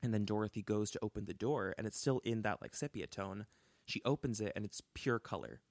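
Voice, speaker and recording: Chatty low-voiced young man. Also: brisk, no echo. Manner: chatty, animated, full of "like" and restarts. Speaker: podcast co-host. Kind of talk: casual storytelling chat. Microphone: close studio mic.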